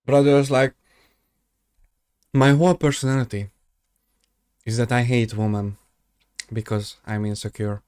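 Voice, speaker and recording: A man's voice: medium pitch, fairly even intonation, a little slow, long pauses, a little mellow, clean tone, dry recording, studio quality. A young man hosting a reaction video, sarcastic and rambling, his voice jumping up when exasperated. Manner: rambling with many fillers and restarts. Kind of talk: mocking reaction commentary.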